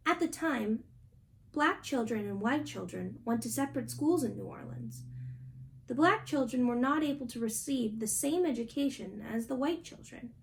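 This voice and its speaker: A woman's voice: on the high side, animated, normal speed, creaky, slight background noise, poor audio. A young adult woman reading a picture book aloud as a storybook reader. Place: small indoor room at home.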